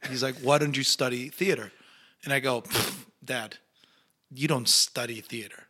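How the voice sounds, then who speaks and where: A young man with an Argentine accent, a Spanish-speaking actor telling stories in a casual interview, animated and acting out the people he quotes. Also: mid-range voice, moderate pace, breathy edge. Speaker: actor guest.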